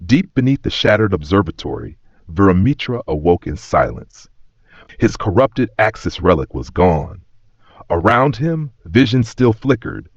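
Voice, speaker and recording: A man with a very deep voice delivers scripted dramatic storytelling. His delivery is solemn, measured and reverent. The sound is echoey and slightly dull, with the top end softened. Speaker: narrator.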